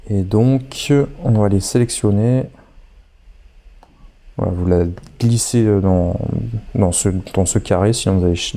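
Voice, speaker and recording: A man's voice: low-pitched, warm tone. A man with a head cold talking through an online course tutorial step by step, chatty and hesitant with many fillers. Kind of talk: screen-recorded tutorial walkthrough.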